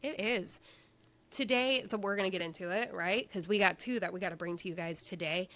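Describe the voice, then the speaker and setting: A woman's voice: mid-range voice, a little fast, fairly bright tone. A woman co-hosting a live broadcast segment on missing persons, bubbly and playful between earnest readings of case details. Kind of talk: casual live show chat.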